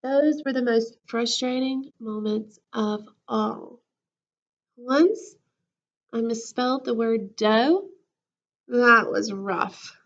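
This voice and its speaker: A woman school counselor reading a picture book to children in an expressive, casual storytime style. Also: fairly high pitch, slow, highs cut off. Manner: animated, acts out the character lines. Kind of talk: expressive children's read-aloud with casual asides.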